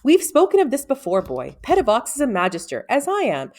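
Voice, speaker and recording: A woman's voice: on the high side, huge pitch swings, brisk, darkish tone, voice pushed up, exaggerated voice. Woman voicing a stern, impatient old wizard master. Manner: curt, commanding.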